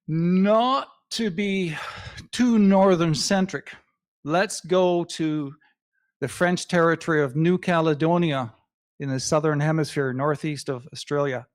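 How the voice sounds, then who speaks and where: Higher-voiced man presenting astronomy slides. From Canada. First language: not English. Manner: measured, emphatic lecturing with pauses.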